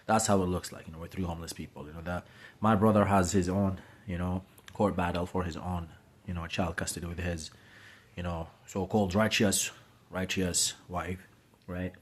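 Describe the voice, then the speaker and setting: A man's voice: sits low, fairly even intonation, moderate pace, husky. A man in his late thirties from Syria, talking casually to a phone camera in a small room, rambling with many fillers and restarts. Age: late 30s. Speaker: vlogger. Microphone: phone camera held close.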